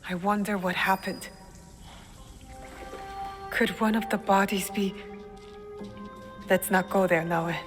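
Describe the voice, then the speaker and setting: A woman's voice: mid-range voice, natural voice. A woman playing a determined, guarded young female shinobi in a video game cutscene, speaking with earnest intensity. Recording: long hall reverb, music behind.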